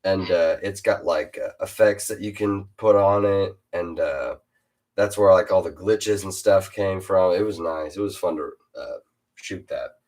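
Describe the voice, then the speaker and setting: Teen dude with a real deep low voice, chatting loose with lots of uh's and restarts. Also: male voice, measured pace, a little mellow. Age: teens. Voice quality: super deep voice. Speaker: host interviewing a fellow rapper. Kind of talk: casual chat.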